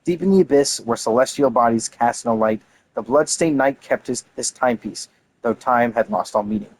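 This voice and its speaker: Male gamer, mid-pitched voice, reading lore aloud with casual, enthusiastic commentary. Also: normal speed, a little hiss or hum, poor audio. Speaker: gaming content creator.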